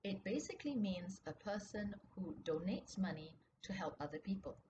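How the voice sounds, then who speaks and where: A woman reads and explains a storybook for young listeners in an expressive, explanatory manner. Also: mid-range voice, moderate pace, dull audio. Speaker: author reading her children's book. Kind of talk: children's storybook reading and explanation. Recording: clearly noisy background ambience.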